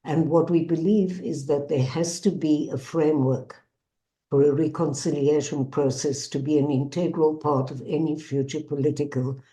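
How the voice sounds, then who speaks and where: An older woman with a South African accent gives a measured, earnest personal testimony as a bereaved mother and peace activist. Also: low-pitched, fairly even intonation, dark tone. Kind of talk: personal testimony to an online audience.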